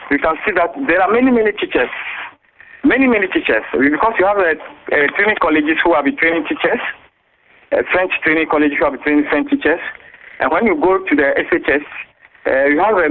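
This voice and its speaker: Ghanaian male guest, phone line, higher voice, halting delivery full of fillers. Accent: Ghanaian English. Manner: hesitant, many fillers and repeated words. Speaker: interview guest, teachers' association official. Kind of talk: phone interview on radio.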